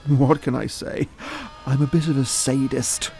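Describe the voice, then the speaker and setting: An actor playing a worldly, middle-aged father in an audio drama, smooth-talking, provocative and theatrical. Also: mid-range voice, steady tone, unhurried, a little mellow, soft background music. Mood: teasing, knowing.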